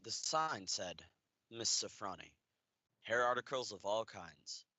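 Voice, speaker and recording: A man's voice: lowish voice, expressive, slow, pushed and bright, dry recording. A young man narrating a scripted radio play story.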